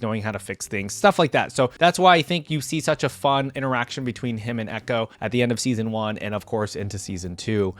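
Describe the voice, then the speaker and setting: A man's voice: mid-range voice, no room sound. A man hosting a reaction video, talking casually to his viewers and rambling as he thinks aloud. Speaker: YouTube reaction creator and host.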